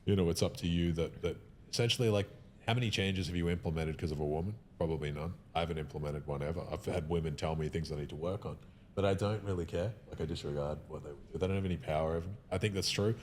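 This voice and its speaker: A man speaks in a podcast conversation with a very low voice and a quick pace. He is emphatic and opinionated, often starting a sentence and restarting it. A faint rumbling noise sits under the recording. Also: a little mellow.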